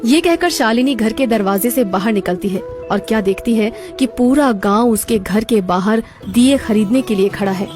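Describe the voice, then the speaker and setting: A woman's voice: on the high side, moderate pace, few pauses. A woman telling a scripted cartoon story as its narrator. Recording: background music throughout.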